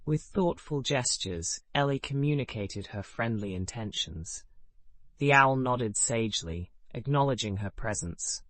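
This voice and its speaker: A man narrating a scripted bedtime story in a calm, measured way, his sentences falling away at the ends. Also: mid-range voice, no echo.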